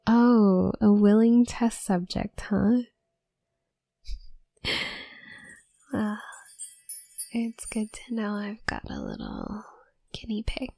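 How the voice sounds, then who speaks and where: A woman playing a shy, awkward young witch student in an audio roleplay, speaking softly and breathily with a nervous, halting stammer. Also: mid-range voice, big pitch swings, vocal fry, no room sound, full character voice. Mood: playful. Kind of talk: roleplay audio drama addressed to the listener.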